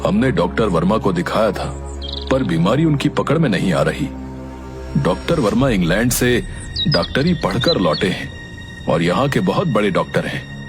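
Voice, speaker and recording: A grave village elder from an animated tale, played by a man. His fairly low voice swings expressively through measured phrases, over clear background music. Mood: concerned.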